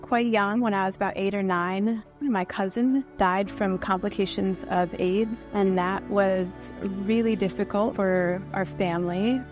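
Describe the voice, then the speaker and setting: A woman's voice: mid-range voice, fairly even intonation, unhurried, few pauses, over music. A woman from Michigan speaking in an earnest, thoughtful way in an interview testimonial. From Michigan, United States. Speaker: employee.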